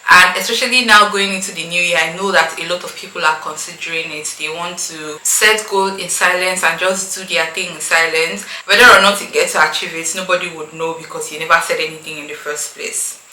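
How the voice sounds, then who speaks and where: A woman's voice: fairly low pitch, fairly even intonation, brisk, few pauses, pushed and bright. A woman with a Nigerian accent talking casually and emphatically to her viewers as an opinionated YouTube host. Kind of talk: casual opinionated talk to camera. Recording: echoey room, faint background noise.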